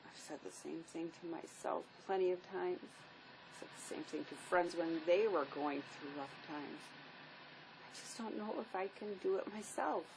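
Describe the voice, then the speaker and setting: A woman's voice: fairly low pitch, moderate pace, creaky. A woman acting a weary, grieving divorced mother in a drama, world-weary and subdued. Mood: weary, grateful. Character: grieving, weary divorced mother who lost her young son. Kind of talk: stage-style dramatic play acting. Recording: noisy amateur recording.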